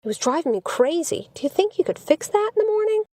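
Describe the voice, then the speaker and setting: Audiobook role: young woman read by a female narrator, lifted pitch, sleepy and questioning, close dry sound. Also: big pitch swings, quick pace, dark tone, dry recording. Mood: puzzled, exasperated. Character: sleepy, exasperated young woman, sweetheart of a camper dweller.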